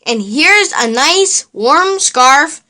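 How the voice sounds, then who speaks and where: Woman reading as a slow, patient mother. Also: high voice, expressive, sharp tone, exaggerated voice.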